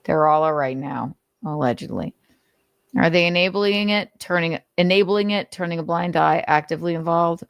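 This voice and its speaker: A woman's voice: fairly low pitch, normal speed, gravelly, smooth, dry recording, clean studio sound. A woman host giving commentary while reading aloud, sardonic and exasperated, with casual swearing. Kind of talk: commentary mixed with dramatic reading.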